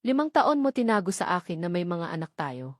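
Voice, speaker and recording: A woman's voice: on the low side, sing-song, brisk, no echo, clean studio sound. Woman reading as an intense, restrained Filipino leading man. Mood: hurt accusation. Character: wounded, intense male romantic lead.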